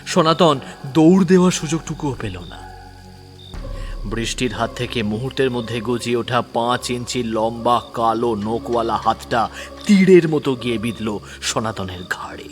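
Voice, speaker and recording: Guy narrating a spooky ghost story, mid voice, clear music under him. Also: medium pitch, moderate pace. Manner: dramatic, dropping to whispers. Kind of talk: dramatic horror audio story narration.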